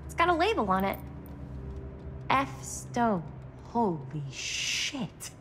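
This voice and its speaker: Casting: breezy, eager American girl, young adult, very lively intonation, game cutscene, reverberant and noisy. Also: a little high, takes it slowly. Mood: excited. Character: eager, breezy young woman exploring an abandoned mill. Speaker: video game character. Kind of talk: naturalistic game cutscene acting. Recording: echoing hall, noisy ambience.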